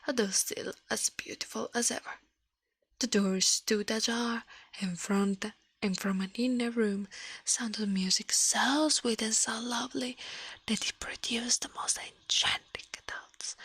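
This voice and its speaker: A woman reading a story aloud in a gentle, breathy ASMR whisper, halting now and then, close to the microphone. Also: fairly high pitch, no room sound. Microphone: close microphone, dry sound.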